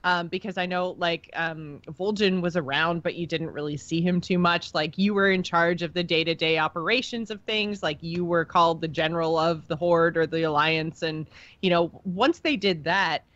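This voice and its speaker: A woman's voice: fairly low pitch, fairly even intonation, normal speed, a bit bright, no echo, muffled recording. A woman co-hosting a casual gaming podcast, talking in a chatty way and explaining her points at length. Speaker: podcast co-host.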